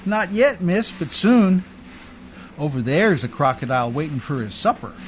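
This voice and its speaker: A man acting a rough, hard-drinking riverboat man who whines and pleads in folksy, uneducated speech in a radio play. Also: fairly high pitch, expressive, normal speed, a little hiss or hum, lightly acted, low-quality recording. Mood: grim.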